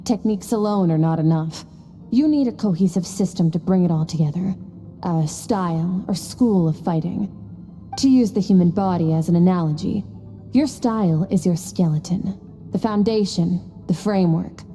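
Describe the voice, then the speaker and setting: A woman playing a stern, aloof master swordswoman in a video game, lecturing in a cool, measured, terse manner. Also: fairly low pitch, dark tone, big-room sound, close to an everyday voice. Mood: cool, instructive. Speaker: sword instructor. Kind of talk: scripted video game voice acting.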